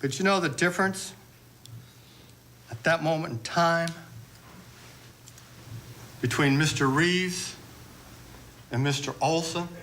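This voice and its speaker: A man's voice: a little high, takes it slowly, long pauses, fairly bright tone, slight background noise. A man giving a courtroom argument as a lawyer.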